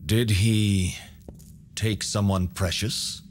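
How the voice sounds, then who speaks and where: An actor playing an old alchemist hermit in a video game, courteous and knowing, with measured, formal, cryptic delivery. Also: male voice, fairly low pitch, fairly even intonation, a little hiss or hum. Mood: grave, knowing. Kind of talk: video game cutscene voice acting.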